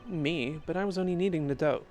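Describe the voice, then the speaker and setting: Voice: mid-range voice, brisk, a little mellow, faint music behind, close to an everyday voice. A video game player voicing a well-meaning young man baker in a sheepish, apologetic manner.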